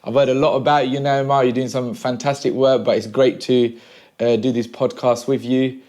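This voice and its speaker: A man around forty from Sri Lanka, a pastor and podcast guest, telling his story in a relaxed way with many pauses and "uh"s in casual conversation at home. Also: mid-range voice. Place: a home room.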